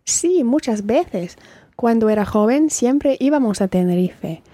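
Woman reading chatty dialogue character, mellow voice, measured and clear, dry studio sound. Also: on the high side, animated, no echo. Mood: friendly.

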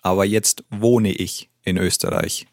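A man with a fairly low voice teaches a language, setting sentences for a learner and correcting gently. His manner is calm and encouraging, recorded close and dry. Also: unhurried, no room sound. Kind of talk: language lesson dialogue.

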